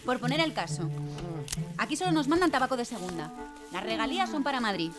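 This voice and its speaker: A woman's voice: sits high, sing-song, quick pace. An actress playing a fiery young factory worker in an audio drama, impassioned and speechifying, with music behind her. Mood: indignant, rousing. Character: fiery young female factory worker preaching republican revolt.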